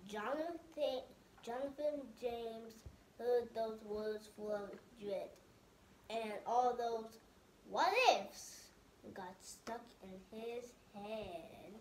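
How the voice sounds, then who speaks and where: A woman's voice: a little high, extremely slow, darkish tone. A woman in her thirties hosting storytime, reading a picture book aloud to children at home. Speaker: storytime host. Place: living room couch at home, indoors. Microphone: camera a few feet away. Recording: roomy home sound, faint background noise.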